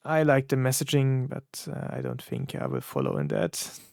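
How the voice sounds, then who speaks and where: Halting German man with gravelly voice. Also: medium pitch, huge pitch swings, darkish tone, no room sound, clean studio sound. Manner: halting, many fillers and restarts, searching for words. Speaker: content creator reacting to songs. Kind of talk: casual reaction commentary.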